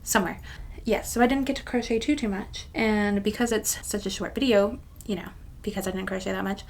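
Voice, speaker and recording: A woman's voice: medium pitch, fairly even intonation, fairly quick, a little hiss or hum. A woman of about thirty-one talking casually to her viewers in a rambling, self-deprecating way. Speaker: craft channel vlogger. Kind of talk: casual chatty vlog to camera.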